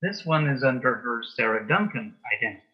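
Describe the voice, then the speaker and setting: Dry deadpan man with a husky edge. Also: mid-range voice, fairly quick, muffled recording. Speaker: interview guest, author and actor. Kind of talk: casual interview chat.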